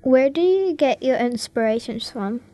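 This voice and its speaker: A woman's voice: sits high, animated, moderate pace, mellow. A woman hosting a radio talent show, carefully reading out scripted introductions and prepared interview questions.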